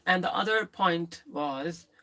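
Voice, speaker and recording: A woman's voice: on the low side, takes it slowly, pushed and bright. Role: live streamer. Brief: a woman with a lisp, candid and rambling, who restarts her sentences. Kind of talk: casual live stream chat, answering viewers.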